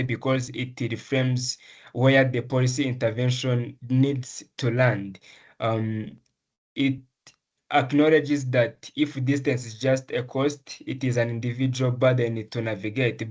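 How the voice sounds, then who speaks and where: A young man from Rwanda speaks at a middling pitch in an interview. His answers come earnestly and carefully, broken by pauses, fillers and restarts as he builds his points. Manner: earnest, measured, with frequent ums and restarts. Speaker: medical student, essay prize winner.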